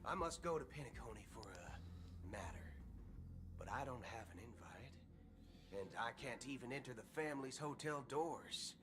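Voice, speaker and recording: A man's voice: medium pitch, expressive, moderate pace, close to an everyday voice. A man playing a swaggering, folksy cowboy gunslinger from space in a video game, with a theatrical American Western drawl. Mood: firm, folksy. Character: swaggering male cowboy galaxy ranger gunslinger, folksy and amiable. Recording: game music behind the voice.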